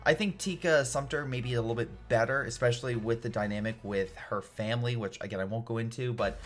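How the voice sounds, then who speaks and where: A man's voice: mid-range voice, fast talker. A man giving a casual, opinionated film review in an informal, emphatic, rambling way. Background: background music.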